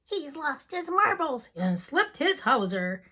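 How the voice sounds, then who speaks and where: A woman voicing a crowd of scandalized, gossiping neighbors who exclaim loudly in high, drawn-out outbursts. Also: big pitch swings, heavily acted. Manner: loud, shrill, drawn-out exclaiming.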